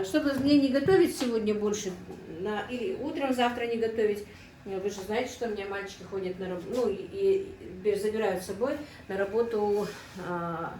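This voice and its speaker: A woman's voice: mid-range voice, a little mellow, reverberant, distant mic, slight background noise, low-quality recording. Chatty middle-aged woman. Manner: chatty, keeps calling the viewers friends. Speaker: cooking vlogger. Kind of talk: casual cooking vlog. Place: home kitchen.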